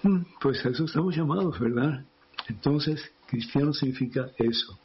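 A man's voice: medium pitch, normal speed, slightly rough. A man, a priest, explaining matters of faith on a radio program, asking rhetorical questions and checking in with his listeners as he goes. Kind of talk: radio teaching talk on faith. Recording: muffled radio recording.

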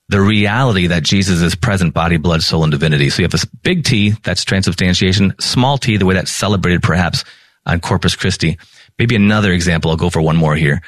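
Low-voiced American man teaching. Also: dry recording. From Minnesota, United States. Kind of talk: informal explanatory lesson with read-out passages.

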